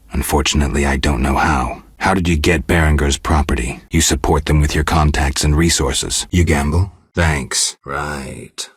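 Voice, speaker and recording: Very deep, raspy monster hunter. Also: male voice, no room sound, studio quality, full character voice. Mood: probing, dry. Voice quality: gravelly, husky. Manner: terse, deadpan, restrained. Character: stoic, weary monster-hunting witcher, adult man. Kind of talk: video game character dialogue.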